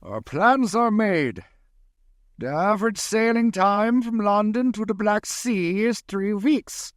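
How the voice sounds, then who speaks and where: A man's voice: high voice, expressive, takes it slowly, no room sound, clean studio sound. A man playing a commanding, urgent vampire hunter in a theatrical, insistent old-time radio drama style. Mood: resolute. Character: commanding, urgent male vampire hunter questioning a hypnotized woman.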